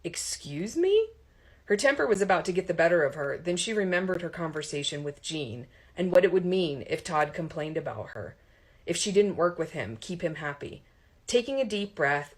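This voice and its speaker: Low-pitched woman narrating fiction. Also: expressive, moderate pace. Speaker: audiobook narrator. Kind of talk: expressive narration of romantic comedy fiction.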